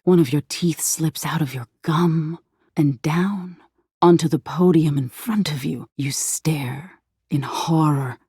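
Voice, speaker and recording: A woman playing a sinister living nightmare in a video game, teasing and seductively menacing, with a breathy, husky voice that slips into whispers. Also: low-pitched, warm tone, no echo, clean studio sound, heavily acted. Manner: slow, drawn-out, playful menace, slipping into breathy whispers. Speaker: video game character voice actor. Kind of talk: theatrical video game voice acting.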